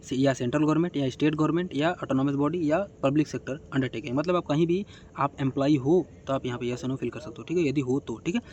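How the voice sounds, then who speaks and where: A man's voice: on the high side, fast talker, a little mellow, dull audio, slight background noise. A man giving casual, step-by-step instructions as a tutorial host, often adding short check-in tags.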